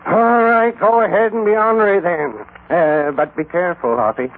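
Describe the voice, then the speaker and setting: A man's voice: high voice, steady tone, normal speed. A man playing a folksy, grumbling comic cowboy sidekick with rustic talk in an old-time radio western. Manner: drawling rustic talk, hesitant asides. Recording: tinny, hissy old radio recording.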